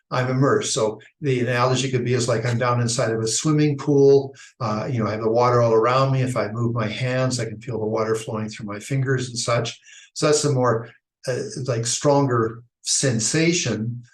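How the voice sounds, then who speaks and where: Reflective man in an echoey room. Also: mid-range voice. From Idaho, United States. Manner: thoughtful, halting, with frequent restarts and fillers. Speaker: Buddhist teacher. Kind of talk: unscripted dharma talk.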